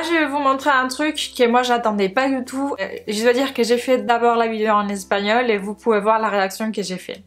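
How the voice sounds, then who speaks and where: A woman with a Spanish accent, a native Spanish speaker, chats casually to viewers as a beauty vlogger, sometimes searching for words in her second language. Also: on the high side. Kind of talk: casual product haul chat to viewers.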